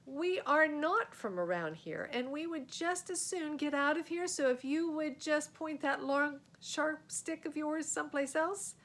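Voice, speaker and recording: A woman voicing an excitable young boy, a magician in training, in a children's storybook, cheeky and earnest. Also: high voice, unhurried, hardly any pauses, pitched above the performer's own voice. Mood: cheeky bravado. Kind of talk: children's storybook read aloud.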